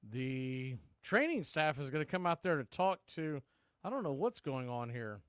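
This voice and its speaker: A man's voice: mid-range voice, sing-song, moderate pace, a bit bright, clear, resonant tone, dry recording, clean studio sound. A man announcing baseball in a play-by-play commentary style.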